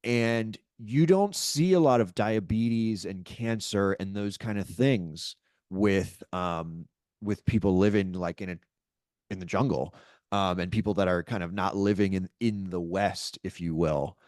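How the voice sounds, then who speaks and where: A man's voice: sits low, unhurried, a little mellow, dry recording, studio quality. A young man hosting a podcast, asking a long casual question with many fillers and hesitations. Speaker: podcast host.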